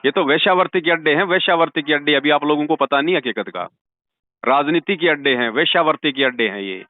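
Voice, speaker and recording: A man's voice: fairly high pitch, dry recording. A man giving religious counsel over the phone, speaking in an insistent, preachy way and leaning on devotional sayings. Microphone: telephone. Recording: tinny phone call recording.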